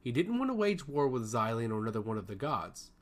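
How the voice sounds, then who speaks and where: A man's voice: medium pitch, sing-song, quick pace. A man reading a scripted fantasy story aloud as its narrator. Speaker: audiobook narrator.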